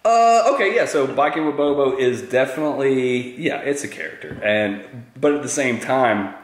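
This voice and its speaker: A man's voice: medium pitch, animated, normal speed, slight background noise. A man in his thirties from South Carolina, telling crude stories with a self-mocking laugh as an interview guest. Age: 30s. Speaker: interview guest, YouTube creator. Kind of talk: casual storytelling chat. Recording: echoey room.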